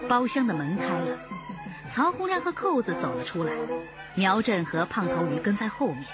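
A woman's voice: medium pitch, sing-song, moderate pace. A woman narrator reading dramatic scripted narration for a radio drama. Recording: dull sound under music.

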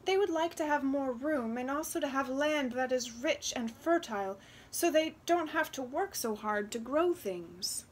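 Woman playing a shy, high-voiced peasant girl. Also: expressive, measured pace, slight background noise. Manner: shy, earnest, sweet. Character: young peasant girl, content, shy, modest and kind.

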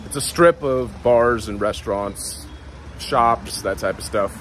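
A man's voice: medium pitch, measured pace, a bit bright, a little hiss or hum. A man around his forties talking casually and informally as a laid-back travel vlogger. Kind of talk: casual travel vlog narration. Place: outdoors on town streets and beach. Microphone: phone at arm's length.

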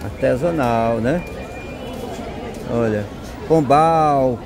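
A man with a Northeastern Brazilian accent strolls through a busy street market and talks about what he sees at an unhurried pace. His voice sits in an ordinary middle pitch, and loud crowd chatter and passing vehicles fill the outdoor space around him. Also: background noise. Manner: slow, savoring, points out goods. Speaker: vlogger. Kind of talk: walking market tour commentary.

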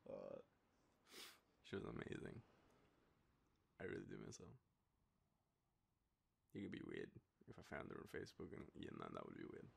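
A young Australian man playing an affectionate, nostalgic boyfriend in an intimate roleplay, talking to the listener in a halting, teasing way. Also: sits low, huge pitch swings, gravelly, a little hiss or hum, heavily acted. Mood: fond, amused. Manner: hesitant, soft chuckles, halting pauses. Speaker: audio roleplay performer.

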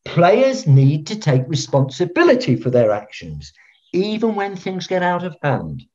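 An actor playing a pompous, eccentric veteran football referee, theatrical and self-righteous, on a video call. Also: male voice, sits high, huge pitch swings, unhurried, darkish tone, exaggerated voice. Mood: indignant. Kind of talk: filmed comedy acting on a video call. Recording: dull, lo-fi video call audio.

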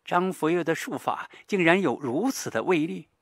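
A man's voice: a little high, expressive, normal speed, dry recording, clean studio sound, voice pushed up. Man acting a trembling, frightened young companion. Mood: shocked, frightened.

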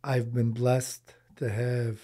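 A man's voice: mid-range voice, level tone, slow pace, warm tone. A man in his forties, raised in the US and born in Lebanon, talking earnestly as a podcast guest, often repeating words as he thinks and using Arabic religious phrases. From Lebanon, raised in Michigan, US. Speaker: podcast guest, charity founder. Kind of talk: casual interview conversation. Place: small podcast studio indoors. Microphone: boom-arm studio mic.

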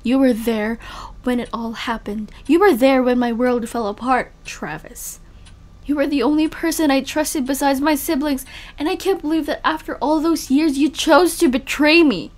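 A woman acting a high-voiced, wounded young spy. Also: normal speed, lightly acted. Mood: accusing, hurt. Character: betrayed young woman spy, hurt, bitter, guarded. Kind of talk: emotional audio roleplay acting.

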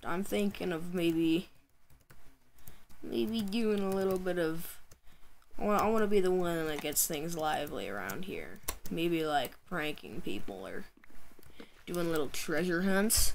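A woman's voice: lowish voice, lively intonation, measured pace, a little hiss or hum. A young woman chatting playfully through her gameplay, her voice tired late at night, with yelps when she panics. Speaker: gaming channel host. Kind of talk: casual gameplay commentary. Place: home room at night.

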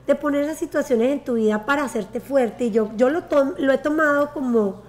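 A Colombian woman in her forties tells her life story on a sofa, her voice sitting a little high. She talks in an animated way and gestures with her hands. She is on a lapel mic in a bright living room. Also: brisk. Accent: Colombian Spanish. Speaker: guest. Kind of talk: casual interview storytelling.

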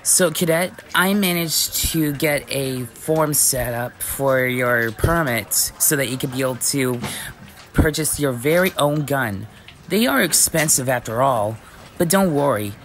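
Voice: medium pitch, expressive, unhurried, a bit bright, a little hiss or hum. A performer with a woman-like voice acting out an easygoing, dutiful werewolf police chief in scripted ASMR roleplay.

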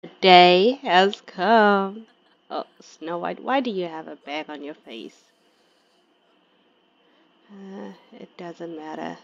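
A woman gives casual, chatty gameplay commentary and talks to her characters as she plays. She speaks slowly, and her voice has a gravelly edge. The sound is dry and slightly dull, like a lo-fi recording. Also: lowish voice. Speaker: gaming video creator.

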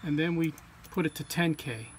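Higher-pitched man giving a hands-on demo, noisy. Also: moderate pace. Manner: step-by-step, plain-spoken. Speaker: technician demonstrating equipment calibration. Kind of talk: informal hands-on tutorial. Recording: clear background hum or ambient noise.

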